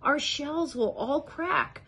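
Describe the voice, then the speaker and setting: A woman's voice: sits high, very animated, slow, a bit bright, pitched above the performer's own voice, heavily acted. A woman voicing a meek, polite little turtle in a storybook, pleading and groaning deferentially. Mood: pained, pleading. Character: plain little male turtle, meek, polite, suffering underling.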